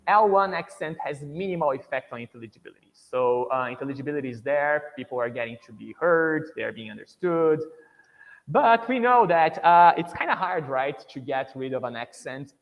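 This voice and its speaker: A man from Brazil, speaking with a Brazilian Portuguese accent, presents an English-teaching webinar in a relaxed, conversational way. His voice sits at an ordinary middle pitch, and he peppers his points with "you know" and "right". The computer-mic audio carries a long reverb tail. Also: lo-fi sound. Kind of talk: webinar lecture.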